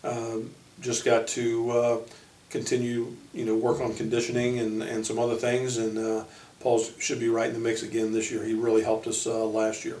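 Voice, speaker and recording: American guy coach intro-ing his team, flat pitch, kinda halting, roomy far mic. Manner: halting, pausing between short phrases. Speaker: college basketball coach. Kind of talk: informal spoken team introduction. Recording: echoey room, distant microphone.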